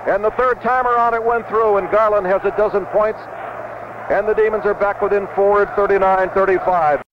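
A man's voice: high voice, steady tone, brisk. A man calling live basketball play-by-play for radio in clipped, urgent phrases. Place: basketball arena. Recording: muffled, noisy old radio broadcast.

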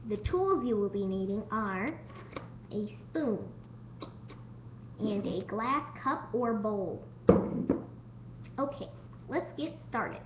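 A woman's voice: mid-range voice, expressive, takes it slowly, pauses often. A woman hosting a homemade cooking demonstration in a home kitchen, talking unscripted and sometimes stumbling over her words. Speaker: cooking show host. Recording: dull lo-fi home video with noise.

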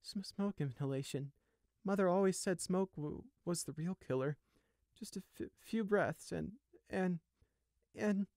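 A man's voice: very high-pitched, a little slow, long pauses, a little mellow, no room sound, clean studio sound, voice pushed up. A man voicing a small, frightened young male sheep in a story, stammering and whimpering with grief. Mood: anguished, fearful. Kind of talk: storybook character acting.

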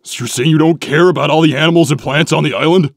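A man voicing a dense, gruff, easily flustered young echidna warrior in a comic dub, earnest and stammering. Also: on the high side, fairly even intonation, fast, no room sound, studio quality. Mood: earnest, oblivious.

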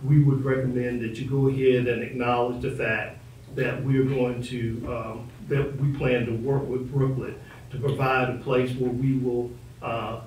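Echoey, distant man giving a diplomatic council presentation. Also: mid-range voice. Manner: diplomatic, measured. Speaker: city staff official presenting to council. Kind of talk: formal public meeting presentation. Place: indoor council chamber. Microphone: distant room microphone. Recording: echoey meeting room, distant mic, faint noise.

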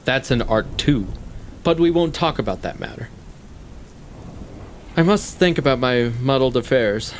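An actor playing a shrewd, needling intellectual in a stage play, speaking deliberately in formal, articulate, condescending sentences. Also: male voice, medium pitch, lively intonation, a little hiss or hum, lightly acted. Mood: assured, pressing. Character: shrewd, probing male intellectual, coolly needling his guest. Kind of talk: stage play acting, long monologues.

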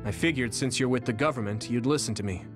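A man's voice: medium pitch, quick pace, natural voice. An actor playing an earnest, determined young male soldier. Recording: game cutscene with music behind.

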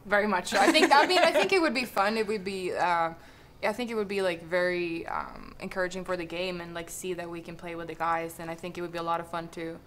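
A woman's voice: on the low side, fast, fairly bright tone. A young woman chatting casually in a group, agreeable and somewhat hesitant. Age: young adult. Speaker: professional golfer, panel guest. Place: indoor lounge, seated around a table. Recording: faint background room noise.

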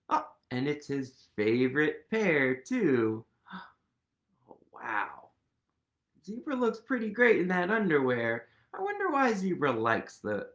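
Animated man reading to children. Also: on the high side. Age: 30s. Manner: animated, expressive, asking listeners questions. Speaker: host, museum literacy educator reading aloud. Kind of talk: picture book read-aloud for young children. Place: indoors, small quiet room at home.

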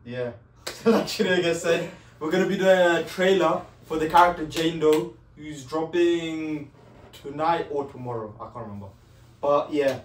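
A British man in his twenties hosts with slangy, casual chat and a disbelieving manner. His voice is fairly high. The sound is distant and echoey, from a living room. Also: normal speed, slight background noise. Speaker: reaction video host. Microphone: far camera.

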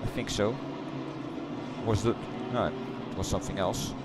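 A middle-aged man with a Dutch accent, chatting loosely through a replay of his racing game run, halting and correcting himself as he goes. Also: lowish voice, fairly even intonation, heavy background noise. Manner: halting, rambling, self-correcting. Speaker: sim racing streamer. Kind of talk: casual replay commentary. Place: home gaming room.

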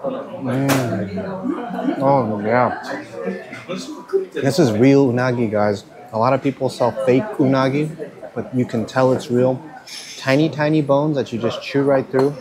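Food vlogger, male, 30s, mid-pitched, eager and chatty, crowded dining room noise. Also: takes it slowly, pauses often, a crowd in the background. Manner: enthusiastic, keeps saying "guys", savoring with hums. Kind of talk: casual food vlog narration. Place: restaurants in Kyoto, indoors and riverside outdoors. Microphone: handheld camera at arm's length.

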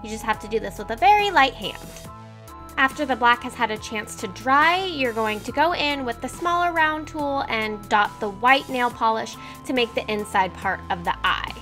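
A woman's voice: fairly high pitch, lively intonation, moderate pace, pushed and bright, faint music behind. A young woman in her twenties chatting upbeat through a nail art tutorial in her bedroom, with self-mocking asides. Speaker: beauty vlogger. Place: bedroom, indoors.

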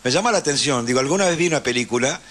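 A man with an Argentine Rioplatense accent hosting a casual radio interview, speaking haltingly as he searches for words. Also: medium pitch, lively intonation, fairly bright tone. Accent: Argentine Rioplatense Spanish. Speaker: radio host.

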